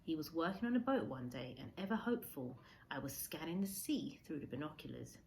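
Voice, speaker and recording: A woman reading a storybook aloud as a teacher would, expressively, with measured dramatic emphasis. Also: low-pitched, very animated, creaky, darkish tone, a little hiss or hum, rough recording.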